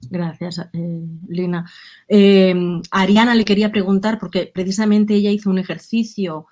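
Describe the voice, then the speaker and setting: A woman moderating a literary discussion, measured and somewhat halting as she frames her questions. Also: medium pitch, steady tone, darkish tone. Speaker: panel moderator.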